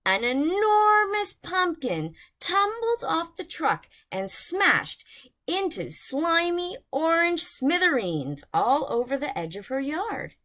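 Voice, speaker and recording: A woman's voice: very high voice, sing-song, slow pace, fairly bright tone. A woman reading bedtime storybooks aloud to young children, expressively, with dramatic emphasis on key words.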